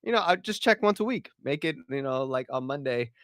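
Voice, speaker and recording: A man's voice: medium pitch, expressive, fairly quick, a bit bright, no room sound, clean studio sound. A man from Puerto Rico, speaking English as a later language, answering questions on a livestream in a loose, chatty way with many fillers and restarts. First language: Spanish. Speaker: partnerships director. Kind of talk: casual livestream Q&A.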